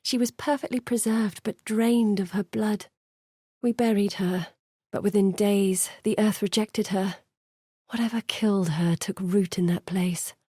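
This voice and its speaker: A woman's voice: mid-range voice, steady tone, a little slow, mellow, husky, no echo, clean studio sound. An actress playing an earnest, curious woman scholar in a video game, articulate, thoughtful and dramatic in delivery. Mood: haunted, grave. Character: earnest, curious woman scholar guiding an exile through memories. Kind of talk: video game character voice acting.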